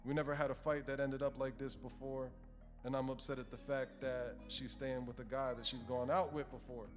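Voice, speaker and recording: A man's voice: mid-range voice, flat delivery, fairly quick, long reverb tail, over music. A man co-hosting a radio show, reading a listener's letter aloud and dropping slangy asides. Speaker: radio co-host.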